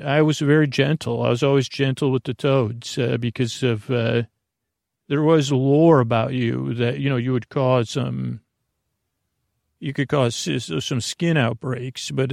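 A man rambling through an improvised sleep podcast monologue, halting, apologetic and self-deprecating, often trailing off and circling back. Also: medium pitch, creaky, no room sound, studio quality. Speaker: podcast host.

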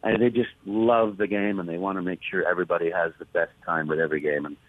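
A man's voice: low-pitched, fast talker, no echo. Enthusiastic man on a thin phone line. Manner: warm, enthusiastic, repeats words when excited. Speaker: guest, voice actor. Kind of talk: storytelling interview talk.

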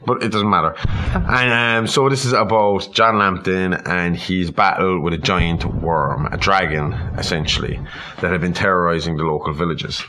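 A man's voice: low-pitched, steady tone, normal speed, bright, forceful tone. A man hosting a casual chat, retelling a story in a rambling, irreverent way with humorous asides and some swearing. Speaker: podcast host.

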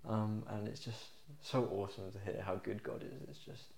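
Earnest man with a gravelly voice. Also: on the low side, little pitch movement, normal speed, over a noisy crowd. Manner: earnest, encouraging, repeats "just" and "Lord" in prayer. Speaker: podcast co-host. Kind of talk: casual chat and closing prayer.

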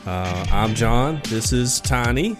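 A man's voice: medium pitch, expressive, slow pace, music in the background. Easygoing man with a slight head cold. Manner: easygoing, rambling, laughs often. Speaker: podcast co-host. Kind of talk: casual chat.

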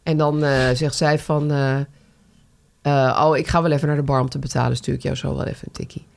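Gravelly-voiced woman chatting casually. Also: sits low, fairly even intonation, a little fast. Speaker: podcast co-host. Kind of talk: casual conversational chat.

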